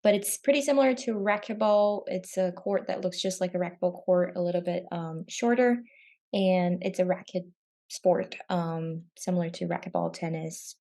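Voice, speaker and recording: A young woman from Brazil, a guest on a podcast, answering thoughtfully with frequent pauses and "um"s. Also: on the low side, steady tone, creaky, dark tone. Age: young adult. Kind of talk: casual interview.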